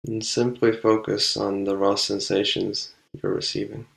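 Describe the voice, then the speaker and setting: A man leading a guided meditation, speaking calmly and unhurriedly with long pauses between short instructions. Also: fairly low pitch, creaky, low-quality recording.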